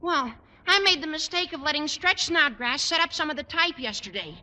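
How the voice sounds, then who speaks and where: High-pitched, pompous teenage boy. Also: expressive, normal speed, sharp tone, long reverb tail, exaggerated voice. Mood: grave, pompous. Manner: pompous, florid wording. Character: squeaky, earnest, melodramatic teenage schoolboy, self-important. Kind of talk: 1950s radio sitcom acting.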